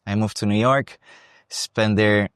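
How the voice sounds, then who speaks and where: A young man from Costa Rica with a Costa Rican accent, talking about his dance career in a relaxed, thoughtful interview. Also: lowish voice, measured pace, clear, resonant tone, no room sound, clean studio sound. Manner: relaxed, thoughtful, with frequent restarts. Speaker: guest, salsa dancer and teacher. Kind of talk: casual interview. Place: salsa congress venue.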